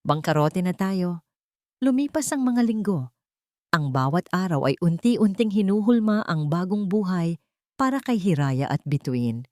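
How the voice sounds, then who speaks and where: A woman's voice: fairly low pitch, very animated, unhurried, a little mellow, no room sound, clean studio sound. A woman reading a scripted love story as a dramatic narrator.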